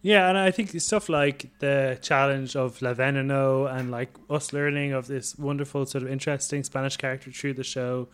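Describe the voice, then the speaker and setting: A man's voice: mid-range voice, fairly even intonation, moderate pace, few pauses, smooth. A man co-hosting a podcast, chatting in a reflective, rambling way. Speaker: podcast co-host. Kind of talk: casual chat.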